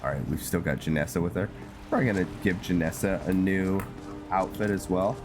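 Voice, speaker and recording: Male gameplay host, very low voice, casual and halting delivery, music clearly behind him. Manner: halting, thinking aloud with pauses mid-sentence. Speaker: gameplay host narrating a roleplay series. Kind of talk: casual, rambling gameplay commentary.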